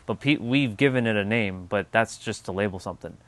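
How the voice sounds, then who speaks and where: A man's voice: lowish voice, fairly quick, dry recording. Rambling man hosting a spiritual livestream. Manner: rambling, musing, answers viewer chat; casual, swears offhandedly. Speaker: livestream host. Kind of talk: casual spiritual livestream chat.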